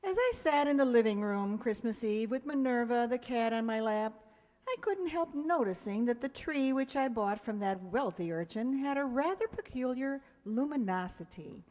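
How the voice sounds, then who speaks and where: A high female voice rings out in a long, echoey hall. It is an actress as a dry, wisecracking schoolteacher from a vintage-style radio comedy, tossing off wry remarks. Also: lively intonation, moderate pace, darkish tone, natural voice. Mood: reflective narration. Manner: dry, sardonic. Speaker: lead actor and narrator. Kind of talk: old-time radio comedy acting.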